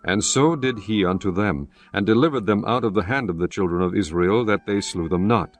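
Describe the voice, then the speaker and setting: A man narrating scripture in a measured, solemn way. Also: lowish voice.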